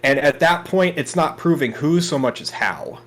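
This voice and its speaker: A man's voice: on the high side, moderate pace, a bit bright. A man chatting casually as a let's play co-host, explaining things in a hesitant, thoughtful way. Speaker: let's play co-host voicing game characters. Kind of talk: casual gaming chat. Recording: background game music. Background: game music.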